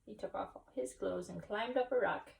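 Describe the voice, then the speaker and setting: A woman's voice: mid-range voice, moderate pace, vocal fry, recorded from a distance, slight background noise. A woman reading a picture book aloud to children as a school story time reader, adding simple explanatory asides.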